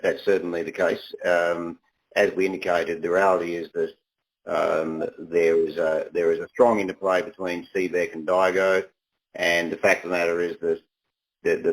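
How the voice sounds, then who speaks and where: Very deep-voiced, halting male executive. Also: low-quality recording. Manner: halting, repeats words, corporate phrasing. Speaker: company executive answering analyst questions. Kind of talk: earnings conference call answers.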